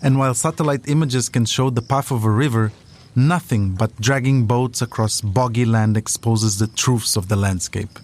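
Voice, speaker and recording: A man's voice: medium pitch, moderate pace, a little mellow. A man delivering scripted documentary narration as the storyteller of a podcast.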